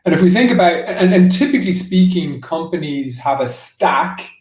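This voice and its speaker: A man's voice: sits high, expressive, moderate pace. Guy being interviewed about business, roomy echo, bit far from the mic, explains stuff casually w lots of likes. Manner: explanatory, peppered with "like" and "you know". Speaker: interview guest, marketing executive. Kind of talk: conversational business interview answers.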